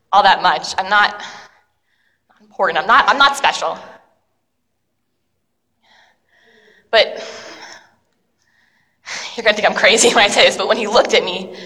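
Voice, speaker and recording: A woman performing a dramatic monologue as an excitable, self-doubting young city dweller, telling the story breathlessly with slangy fillers. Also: mid-range voice, natural voice. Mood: humble. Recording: long reverb of a large hall.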